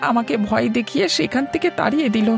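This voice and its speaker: A woman acts out a timid cartoon village lad. The voice is very creaky and a bit high, earnest and defensive, with soft music behind. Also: fast. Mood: anxious earnest. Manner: pleading, defensive. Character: earnest, timid young male village handyman. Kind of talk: cartoon story acting.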